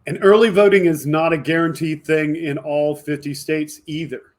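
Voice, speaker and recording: A man with a somewhat high-pitched voice hosts a political video podcast. He speaks in an impassioned, emphatic monologue aimed straight at his viewers. His delivery moves between forceful outbursts and quieter asides. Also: steady tone, moderate pace. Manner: emphatic, fired up, addressing viewers directly. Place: indoor home studio with green screen.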